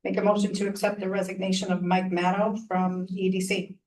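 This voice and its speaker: Flat-voiced woman reading motions. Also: mid-range voice, a little fast. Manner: flat, businesslike reading of figures. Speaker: town selectwoman. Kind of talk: reading motions at a town meeting. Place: meeting room. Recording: voice from far off the mic.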